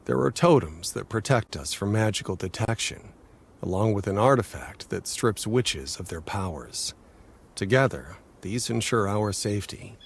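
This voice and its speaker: A grave werewolf leader in a fantasy game. His voice is low and husky, and he speaks in a measured, guarded way, like one responsible for sheltering his people. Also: expressive, exaggerated voice. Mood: solemn, reassuring. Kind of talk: dramatic game cutscene acting.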